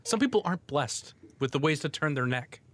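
A man's voice: on the high side, lively intonation, a little fast, a bit bright, no echo. A man co-hosting a podcast, rambling through playful arguments with laughs and restarts. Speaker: podcast co-host. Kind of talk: casual banter.

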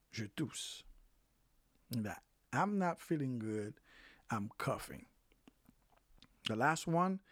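Slow, repetitive phrase teacher. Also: mid-range voice, darkish tone, no room sound, studio quality. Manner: slow, repeats each phrase several times; hesitant, with self-corrections. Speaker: language teacher. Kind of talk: informal phrase lesson for health workers.

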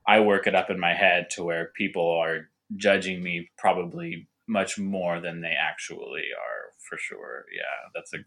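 Guest, male, stutters; deep and bright, halting delivery. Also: vocal fry. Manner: hesitant, with restarts and repeated words. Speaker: winemaker guest. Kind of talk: casual podcast interview.

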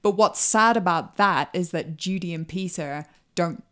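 A woman with a slightly low voice co-hosts a relaxed movie chat. She jokes around and laughs often while walking through the story beats. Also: a little slow. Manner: playful, laughs often, joking. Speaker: podcast co-host. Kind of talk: casual film chat.

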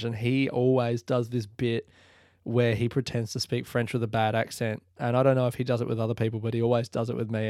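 A comedian talks loosely into a close, dry mic. His voice sits at an ordinary pitch for a man. He strings his stories together with fillers, swearing and bursts of laughter. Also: a little mellow, dry recording. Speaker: comedian and podcast host. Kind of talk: rambling solo storytelling.

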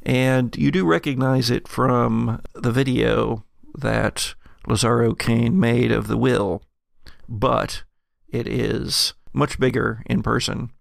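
Guy running a tabletop game, really gravelly fry in his voice, halting descriptions, super dry sound. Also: medium pitch, fairly even intonation, no room sound. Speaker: game master. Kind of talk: improvised narration of a tabletop game.

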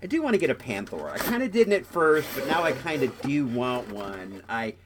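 A man's voice: medium pitch, very animated, normal speed, background noise. A young man hosting a podcast who is sick and stuffed up, chatting casually and jokingly while he unboxes a toy. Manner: rambling, jokey, self-interrupting. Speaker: podcast host.

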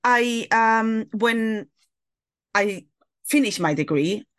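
A woman from Spain with a Spanish accent, talking casually as a guest about teaching Latin and Greek, thoughtful and lively with fillers. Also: fairly high pitch, takes it slowly, clean tone. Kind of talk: casual interview talk.

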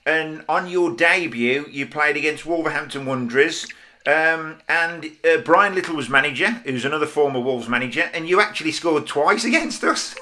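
A man's voice: a little high, pushed and bright. A man hosting a podcast interview, asking questions and eagerly agreeing with his guest. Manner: enthusiastic, backs the guest with quick yeses. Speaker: podcast host. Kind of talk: casual interview.

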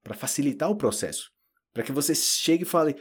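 Brazilian guy doing a chill podcast lesson, kinda husky voice, talks right to you. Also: on the high side, brisk. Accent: Brazilian Portuguese. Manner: relaxed, chatty, addresses listeners directly. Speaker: Portuguese teacher. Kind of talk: informal podcast lesson for learners. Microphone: solo audio recording.

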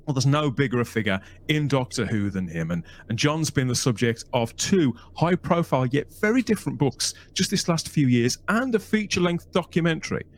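A man's voice: medium pitch, lively intonation, brisk, slight background noise. A man with a Birmingham accent hosting a podcast, reading a playful, chatty intro and poking fun at himself. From England. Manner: playful, chatty, self-mocking. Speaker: podcast host.

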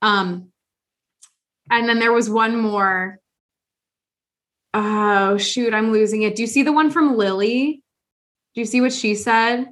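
A woman podcast host with a fairly high voice. She talks casually and with animation, rambling through her points with fillers and restarts. Her reactions come across as lively and exasperated. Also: slow pace, pauses often. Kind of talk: casual chat.

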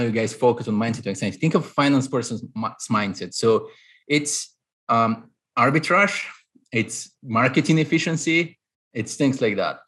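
Husky Bulgarian-accented man, analytical. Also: mid-range voice, a bit bright. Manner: analytical, many fillers and restarts. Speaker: guest, real estate investor. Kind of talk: conversational interview answers.

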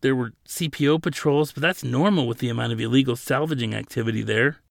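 A man's voice: medium pitch, fast talker, creaky, dry recording, studio quality, lightly acted. A man voicing a slight, timid male desk attendant in an audiobook, with a nervous, hesitant, apologetic way of talking. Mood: apologetic, frazzled.

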